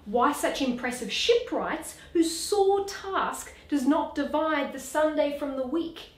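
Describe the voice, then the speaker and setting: A woman playing an anxious night sentinel, earnest and questioning, in deliberate dramatic Shakespearean verse. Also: high-pitched, roomy sound, voice pushed up. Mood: urgent questioning.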